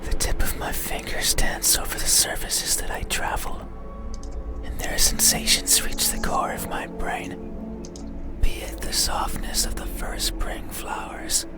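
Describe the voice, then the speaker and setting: A man playing an eerie midnight monster in a horror game, speaking poetically in a breathy whisper. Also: over loud music, lightly acted. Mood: hungry, sensual. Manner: slow, deliberate, poetic. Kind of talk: horror visual novel monologue.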